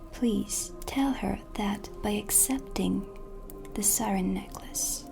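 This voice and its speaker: A woman acts out a dreamy, kindly witch in her shop for a cinematic ASMR roleplay. She whispers softly and slowly, in a gentle, confiding way, with music playing clearly behind her. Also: mid-range voice. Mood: admiring, earnest. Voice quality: breathy, whispery soft voice. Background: ambient music.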